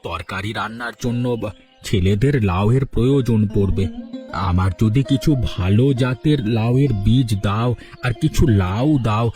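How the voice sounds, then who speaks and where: A man voicing a patient, humble old village schoolteacher in a cartoon, who speaks gently and calmly. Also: on the low side, normal speed, music in the background, natural voice. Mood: gentle. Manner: gentle, calm, pleading. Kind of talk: cartoon voice acting.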